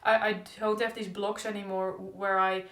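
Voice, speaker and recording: A woman's voice: mid-range voice, little pitch movement, moderate pace, a bit bright. A young woman who stutters openly with repeated sounds, talking in a casual diary-style vlog. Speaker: stuttering vlogger. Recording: echoey room.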